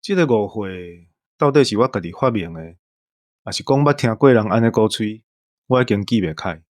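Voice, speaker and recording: A man's voice: fairly low pitch, expressive, moderate pace, darkish tone, dry recording, clean studio sound. A man with a Taiwanese accent in casual chat as a host, joking and reflective.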